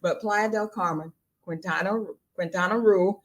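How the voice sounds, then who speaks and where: A woman with a Southern American accent hosting a podcast interview, chatty and animated with a folksy way of talking. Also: lowish voice, normal speed. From Southern United States. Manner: chatty and animated, folksy with y'all, laughs easily. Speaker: podcast host. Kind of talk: casual interview chat.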